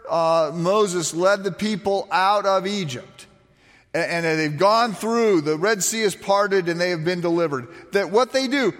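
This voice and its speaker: Male preacher, slightly high voice, raspy when quiet, lively storytelling sermon, long hall reverb. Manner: animated storytelling with frequent restarts and fillers.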